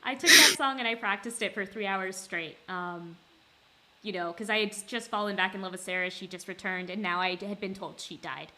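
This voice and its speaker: A woman's voice: medium pitch, normal speed, pushed and bright, poor audio. A woman chatting casually on a livestream Q&A about singing a role, rambling a little with many ums. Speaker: singer and voice actor in a fan musical. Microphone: handheld microphone.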